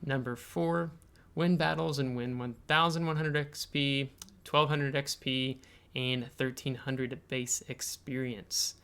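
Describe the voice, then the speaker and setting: An American man hosting a video game tutorial, explaining things step by step in a halting way with frequent filler pauses. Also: medium pitch, steady tone, fairly bright tone, smooth. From United States. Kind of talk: explanatory game tutorial, semi-scripted screen walkthrough.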